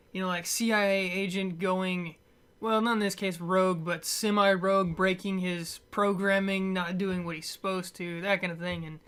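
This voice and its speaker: A man talking off the cuff in a casual movie review, halting and stumbling over his words, with wry, dismissive asides. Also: high voice, pushed and bright, clean tone. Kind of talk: casual unscripted movie rant.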